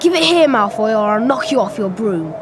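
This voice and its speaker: A woman's voice: fairly high pitch, animated, a little fast, very noisy, natural voice. A woman voicing an earnest, plucky schoolboy in a video game. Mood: defiant. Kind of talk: video game cutscene acting. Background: music.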